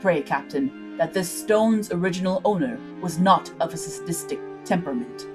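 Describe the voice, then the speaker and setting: Storybook pirate-ship heavy, male woman performing: low-set voice, formal, ominous, commanding. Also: normal speed, music in the background, natural voice. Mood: cool, measured. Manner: formal, imperious.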